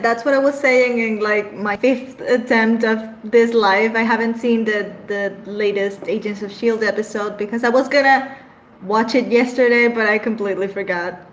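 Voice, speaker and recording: A young woman from Venezuela with a Venezuelan accent, whose first language is Spanish, chatting casually with her live-stream audience and laughing often, her voice trailing off softly. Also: mid-range voice, a little hiss or hum. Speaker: streamer answering live chat.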